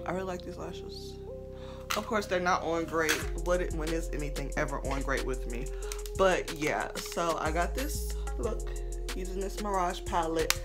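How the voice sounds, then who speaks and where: A woman's voice: lowish voice, moderate pace, over loud music. A young woman with a cold, chatting casually through a makeup tutorial in her bedroom. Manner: chatty, upbeat, talks to viewers as friends. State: stuffy, sick with a cold. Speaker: beauty vlogger. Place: bedroom, indoors. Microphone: camera facing her at close range.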